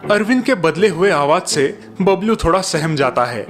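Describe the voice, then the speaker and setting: A man's voice: on the high side, animated, normal speed, faint music behind. A man narrating a cartoon story in a scripted storytelling style.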